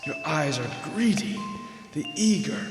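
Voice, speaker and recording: A long echo and music surround a man acting as a ghostly spirit in a radio play. The voice is solemn and accusing, drawing words out slowly with stern reproach. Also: fairly high pitch, lively intonation. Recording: echoey hall reverb, music behind.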